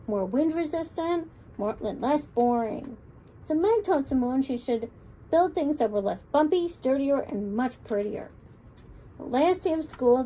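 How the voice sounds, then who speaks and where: A woman children's librarian reading a picture book aloud with expressive, lilting storytelling. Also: high-pitched, slight background noise, rough recording.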